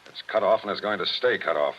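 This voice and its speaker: An actor playing a blunt, impatient police captain in a 1940s radio mystery. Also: male voice, sits low, natural voice. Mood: tough. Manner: blunt, pushy, brisk. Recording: dull old radio broadcast.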